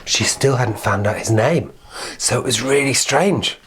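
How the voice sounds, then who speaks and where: A man's voice: mid-range voice, a little slow, a crowd in the background. Playful man telling children a fairy tale. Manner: playful, conversational, with emphatic repetition. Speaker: children's storyteller. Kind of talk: informal, improvised fairy-tale storytelling.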